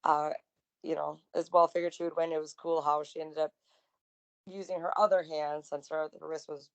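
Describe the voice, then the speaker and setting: Woman co-host, kinda raspy, low voice, gushing about wrestling matches. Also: little pitch movement, moderate pace, muffled recording. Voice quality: slightly raspy. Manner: enthusiastic, chatty. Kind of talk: casual wrestling recap chat.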